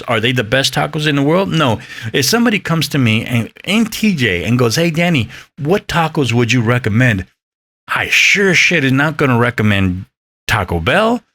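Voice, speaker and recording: A man's voice: mid-range voice, sing-song, moderate pace, sharp tone, no echo. A man in his fifties hosting a podcast, rambling in a casual, profane and emphatic way. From Mexican heritage. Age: 50s. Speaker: podcast host. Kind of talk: casual solo podcast talk.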